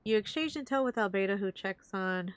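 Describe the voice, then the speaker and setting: A woman's voice: medium pitch, lively intonation, brisk, smooth. A woman game streamer giving casual live commentary on a playthrough.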